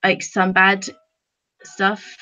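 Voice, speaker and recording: A woman's voice: medium pitch, completely flat delivery, very slow, sharp tone. A woman chatting casually as a podcast panelist, heard through somewhat dull, lo-fi sound.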